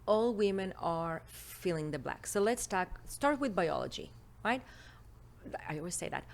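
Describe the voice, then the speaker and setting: A woman's voice: lowish voice, animated, a little slow, vocal fry. A woman from Israel with an Israeli accent, a native Hebrew speaker, talking as a confident, playful relationship expert guest in a studio interview. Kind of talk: interview conversation. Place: podcast studio.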